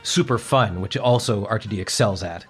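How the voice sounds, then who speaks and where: A man's voice: on the low side, normal speed, husky, faint music behind. A man on a podcast panel, chatting casually and giving his opinions.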